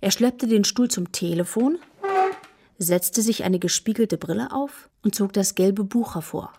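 A woman playing the narrator of a children's radio play, measured and matter-of-fact. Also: mid-range voice, background noise, lightly acted. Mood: calm, measured. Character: calm female storyteller of a children's tale.

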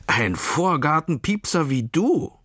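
A storyteller voicing a gruff, menacing male tawny owl with a hoarse croak, scornful and suspicious. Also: mid-range voice, big pitch swings, slow, fairly bright tone, dry recording, studio quality, pitched above the performer's own voice, heavily acted.

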